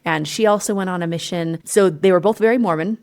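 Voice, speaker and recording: Earnest low-voiced woman telling her story. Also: brisk. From Utah, United States. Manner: warm, earnest, candid, with frequent "like"s. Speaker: executive coach, podcast guest. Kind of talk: personal storytelling in an interview.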